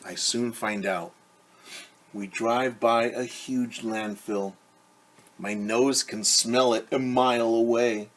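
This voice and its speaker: Husky-voiced man reading a children's storybook. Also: mid-range voice. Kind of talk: read-aloud storytime with simple explanations for children. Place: small room at home.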